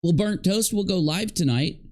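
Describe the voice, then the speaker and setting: A man's voice: high-pitched, normal speed, darkish tone. A man commenting live, reacting to clips and talking to his chat in a sarcastic, rambling way. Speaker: livestream commentator.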